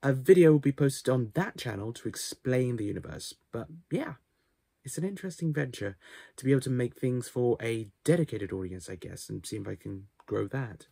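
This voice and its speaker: A man's voice: medium pitch, lively intonation, moderate pace, gravelly, mellow, breathy edge, no echo, studio quality. A man in his twenties giving a casual channel update to camera, with hesitant, self-deprecating asides. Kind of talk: casual vlog talk to camera. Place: small cluttered bedroom, indoors.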